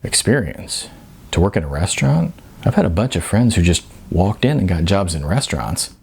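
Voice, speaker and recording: A man's voice: low-pitched, sing-song, normal speed, creaky, a little mellow, husky, full character voice. A man voicing a naive, puzzled young aspiring actor in an audiobook, hesitant and questioning.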